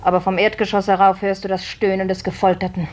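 A woman's voice: mid-range voice, little pitch movement, fast talker, lightly acted. An actress playing a compassionate, bitterly dry servant woman who reproaches, in a 1950s German radio play. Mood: reproachful. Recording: faintly noisy 1950s radio recording.

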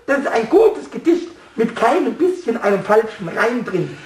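A man's voice: very high-pitched, big pitch swings, normal speed. A man voicing a cheeky, stubborn little male kobold in a radio play, stammering and giggly. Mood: stubborn playful. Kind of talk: 1960s German radio play acting. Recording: roomy studio echo.

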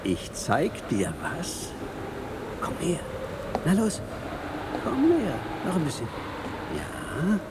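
An actor in a German radio drama playing a scheming comedian with drawn-out, theatrical delivery, on a noisy cassette recording. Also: male voice, fairly high pitch, wildly swooping pitch, exaggerated voice. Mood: slow, theatrical.

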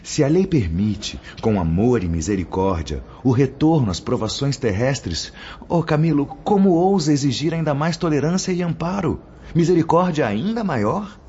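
A man's voice: medium pitch, big pitch swings, normal speed, mellow, slight background noise, close to an everyday voice. A man acting a stern spirit mentor in a radio drama, admonishing with solemn, oratorical declamation and rhetorical questions. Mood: stern, indignant. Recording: dull lo-fi audio with music behind. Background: background music.

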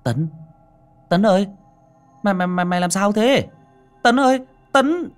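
Guy doing a mouthy rough young village guy, husky voice. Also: on the low side, lively intonation, soft background music. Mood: alarmed calling. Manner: rough, mocking, quick. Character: brash, foul-mouthed young village man.

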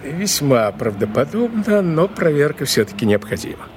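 An actor playing a dutiful police detective inspector in a radio drama, with a husky voice and an official, polite manner. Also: male voice, fairly high pitch, big pitch swings, a little hiss or hum. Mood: polite, doubtful. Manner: official, measured, deferential. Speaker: actor in an audio play. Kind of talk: Russian radio drama acting.

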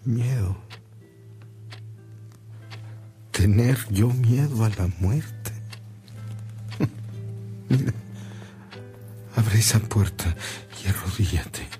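An actor playing an ailing, devout old Mexican bishop in a radio drama, solemn and deliberate, with a Mexican accent. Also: male voice, fairly low pitch, dark tone, faint music behind. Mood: resolute, fervent.

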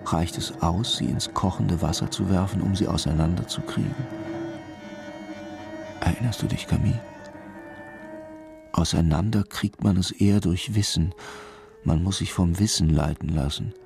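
Low, creaky, pensive police commissioner. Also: male voice, normal speed, long pauses, over music. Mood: musing. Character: pensive, musing male police commissioner, quietly insistent. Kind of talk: German radio crime drama acting.

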